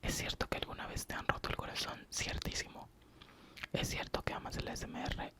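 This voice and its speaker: A man from Oaxaca with a Mexican accent, whispering an ASMR question-and-answer session with short true-or-false replies. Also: a little fast. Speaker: ASMR youtuber.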